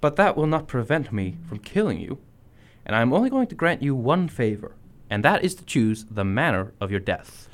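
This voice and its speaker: A young man reading the part of a haughty, menacing giant genie in a group storytelling, with a cold threatening delivery and a lower voice than usual. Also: big pitch swings, moderate pace, lightly acted. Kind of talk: student reading a story aloud as a role.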